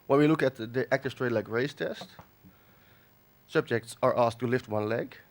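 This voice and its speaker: A man from the Netherlands gives a conference lecture while nursing a cold. His delivery is halting, full of fillers and restarts, at a middling pitch. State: has a cold. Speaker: conference speaker. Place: conference hall.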